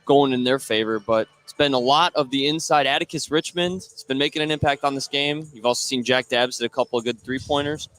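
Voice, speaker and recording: A man's voice: mid-range voice, brisk, hardly any pauses, fairly bright tone, soft background music. A man calling live basketball play-by-play from beside the bleachers in a gym. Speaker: play-by-play announcer. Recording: clear gym noise behind voice. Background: gym ambience.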